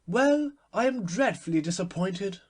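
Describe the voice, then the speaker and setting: Man voicing an imperious high-pitched society hostess. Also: animated, a little slow, breathy edge, full character voice. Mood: airy, disappointed. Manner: emphatic, airily opinionated. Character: imperious chattering society hostess, grand lady. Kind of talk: literary audiobook character voice.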